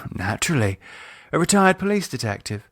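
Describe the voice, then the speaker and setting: A man voicing a composed, teasing woman with a sharp edge and a polite, ironic manner in an audiobook. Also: on the high side, sing-song, fairly quick, no echo, clean studio sound, voice pushed up. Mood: cool, measured. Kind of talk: audiobook character dialogue.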